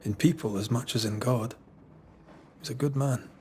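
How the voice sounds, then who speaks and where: An actor playing a steady, dryly witty ghost hunter in a video game, speaking in an understated, earnest way. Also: male voice, mid-range voice, moderate pace, a little hiss or hum, close to an everyday voice. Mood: somber. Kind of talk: cinematic game dialogue.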